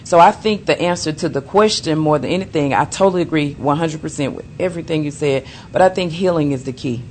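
A woman co-hosting a podcast, speaking in a measured, deliberate way. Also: low voice, darkish tone, slight background noise. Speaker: co-host. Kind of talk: casual podcast discussion.

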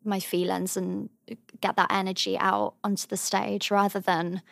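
Earnest young Englishwoman with a high voice. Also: level tone, normal speed, vocal fry, no room sound. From England. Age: young adult. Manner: thoughtful, earnest, softly trailing phrases. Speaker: interview guest, drama student. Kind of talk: reflective interview answers.

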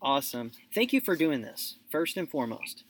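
A man's voice: on the high side, very animated, moderate pace, fairly bright tone, no echo. A man hosting his own podcast, introducing his guest in a loose, rambling way with lots of fillers. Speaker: podcast host. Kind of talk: casual interview hosting.

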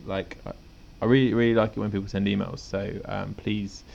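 A man's voice: on the low side, level tone, fairly quick, creaky, a little mellow, muffled recording. A man co-hosting a podcast in a laid-back, rambling casual chat, often chuckling. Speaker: podcast co-host.

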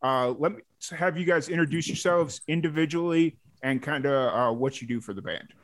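A man's voice: on the high side, brisk. A man hosting a podcast, asking loose, rambling questions full of restarts in casual chat. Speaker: podcast host.

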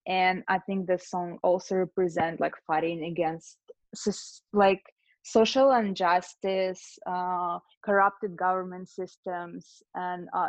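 A woman's voice: fairly low pitch, no room sound. Lady dj talking it through, lots of ums, dull dry recording. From Lithuania, raised in Russia. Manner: thoughtful, with frequent fillers. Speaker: guest DJ. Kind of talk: interview answers.

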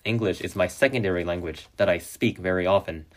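Young male podcast host, Chinese native speaker, very deep voice, halting delivery with frequent restarts. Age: young adult. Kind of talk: solo podcast monologue.

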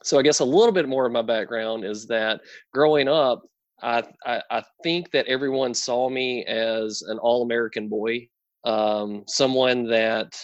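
A man talks as a guest on a video call, friendly and relaxed, with many fillers. The sound is dry and a little dull. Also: medium pitch, a little slow, dry recording. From North Carolina. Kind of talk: casual interview conversation.

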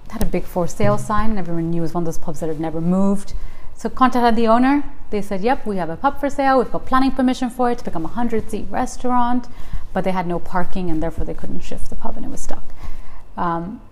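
A woman in her thirties from Tanzania, a property developer talking in a relaxed, reflective way with frequent ums, recorded inside a luxury house. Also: mid-range voice, fast talker. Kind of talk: casual interview chat.

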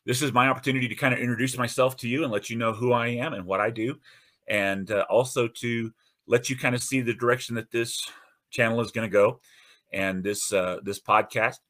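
A man's voice: steady tone, a little fast, fairly bright tone. A man hosting his own podcast in a casual, earnest and encouraging monologue, often restarting his phrases. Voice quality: deep radio-style voice. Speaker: host.

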